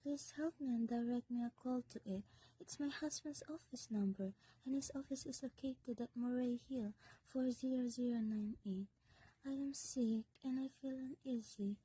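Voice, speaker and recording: A teenage girl plays a demanding, anxious married woman in an amateur radio drama. Her voice is fairly high, very mellow and somewhat husky, in a dry close sound. She presses her points imperiously. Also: moderate pace, no room sound, rough recording. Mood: anxious, pleading. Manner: insistent, imperious.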